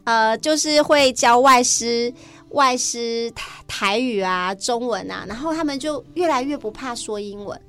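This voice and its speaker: A woman's voice: on the high side, a little slow, a bit bright, smooth. A woman with a Taiwanese Mandarin accent, a teacher chatting enthusiastically as an interview guest. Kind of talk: casual interview chat.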